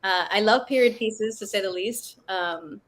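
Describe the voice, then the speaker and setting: A woman's voice: medium pitch, measured pace. A woman actor in an interview, easygoing and thinking aloud with many fillers. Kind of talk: casual interview chat.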